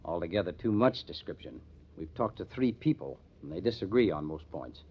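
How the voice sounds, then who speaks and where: An actor playing a matter-of-fact police detective captain in an old-time radio crime drama, plainspoken and emphatic, on a muffled vintage recording. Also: male voice, deep voice, very animated, brisk, husky, exaggerated voice. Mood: businesslike, emphatic.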